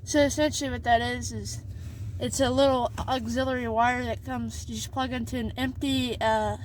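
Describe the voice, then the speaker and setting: A woman giving a casual, halting how-to walkthrough in and around a pickup truck, leaning on fillers and repeating herself as she explains. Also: on the high side, fairly even intonation, slight background noise, poor audio. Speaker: video host showing a vehicle setup.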